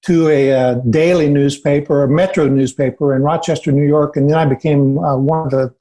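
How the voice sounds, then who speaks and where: Mellow-voiced American man telling his story. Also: a little high, brisk. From Indiana, USA. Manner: steady, self-assured anecdotes with filler ums. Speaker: podcast guest. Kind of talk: relaxed storytelling interview.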